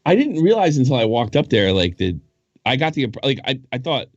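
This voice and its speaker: A man in his forties with a Boston accent, a podcast guest telling rambling, profane stories full of halting restarts. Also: mid-range voice, very animated, dry recording, dull audio. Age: 40s. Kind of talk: casual chat.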